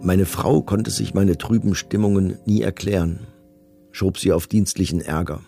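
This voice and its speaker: An actor playing a middle-aged camp commandant in a documentary radio play, reading testimony in a measured, matter-of-fact, bureaucratic manner. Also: male voice, deep voice, fairly even intonation, creaky, dark tone, slightly rough, soft background music, full character voice. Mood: sombre, confessional.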